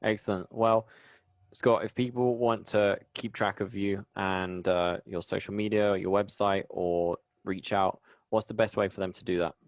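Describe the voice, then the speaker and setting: A man with a low-pitched voice hosts a podcast. He talks in a conversational interviewing style, addressing guests and listeners directly. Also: steady tone, normal speed, no echo, studio quality. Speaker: podcast host. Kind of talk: conversational interviewing and show promotion.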